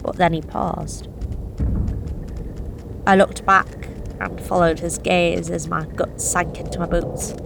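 A woman's voice: on the low side, little pitch movement, takes it slowly, vocal fry, long pauses, noisy background, heavily acted. An actress playing a nervous young delivery driver, telling her story in a tense, confessional first-person monologue with blunt swearing. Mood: uneasy. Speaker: narrating actor.

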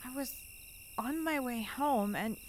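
A woman's voice: fairly high pitch, takes it slowly, a little hiss or hum. An actress playing Dot, a woman with a dry, wry way of talking in a radio drama. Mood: pained. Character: Dot Harper, a woman hurt on a highway.

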